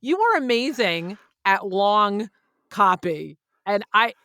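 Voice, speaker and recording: A woman's voice: mid-range voice, big pitch swings, slow pace, pushed and bright, no room sound, clean studio sound. A woman hosting a casual podcast interview, talking in an effusive, exclamatory way. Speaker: podcast host.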